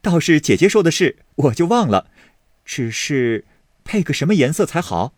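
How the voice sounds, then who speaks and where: A man's voice: medium pitch, big pitch swings, normal speed, darkish tone, no echo, voice pushed up, close to an everyday voice. A man voicing an eager, teasing young nobleman, in a dry close recording.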